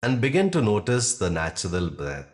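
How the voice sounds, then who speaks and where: A man guiding a yoga nidra relaxation in a calm, measured manner, leaving long pauses between short phrases. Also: fairly low pitch. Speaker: yoga nidra meditation guide. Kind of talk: guided relaxation meditation.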